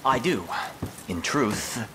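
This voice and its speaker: A man's voice: medium pitch, lively intonation, slow pace, noisy background. A man playing an earnest, loyal knight in a fantasy game, speaking in a formal, stern, courtly manner. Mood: respectful. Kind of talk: video game fantasy acting.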